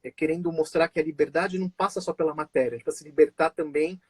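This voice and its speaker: Male live-stream host, slightly high, husky voice, dry sound, chatty with restarts. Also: steady tone, fast, no echo. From born in Israel, raised in Brazil. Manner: chatty, many fillers and restarts, laughs often. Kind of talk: casual live-stream conversation.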